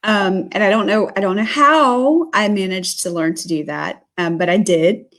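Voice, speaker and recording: Woman, from South Georgia, livestream host, mid pitch, chatty, friendly, lots of fillers. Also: moderate pace, fairly bright tone. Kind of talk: casual livestream interview.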